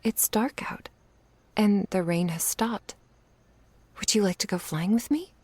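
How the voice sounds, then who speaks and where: A woman playing an affectionate young witch in an intimate ASMR roleplay, speaking softly, tenderly and playfully, often in a whisper. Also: medium pitch, animated, a little slow, no echo. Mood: playful, doting. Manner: soft, breathy, tender murmuring with little hums.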